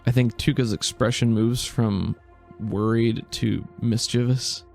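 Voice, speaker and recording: A man's voice: medium pitch, steady tone, measured pace, vocal fry, darkish tone, soft background music. A man narrating a tabletop game as game master and podcast host, in an informal, descriptive storytelling way.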